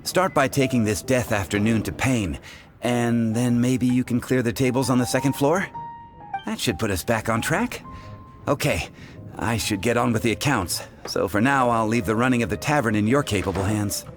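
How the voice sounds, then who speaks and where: A man's voice: medium pitch, moderate pace, close to an everyday voice. A man playing a busy, affable tavern keeper in a fantasy video game, with a courteous, chatty way of talking. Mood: grateful, busy. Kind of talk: scripted video game acting. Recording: game music behind the voice. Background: music.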